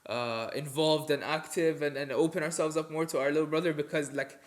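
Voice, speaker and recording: A man's voice: on the high side, steady tone, a little fast. A young man co-hosting a podcast, chatting casually in an earnest, reflective way. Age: young adult. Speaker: podcast co-host. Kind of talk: casual sibling podcast chat.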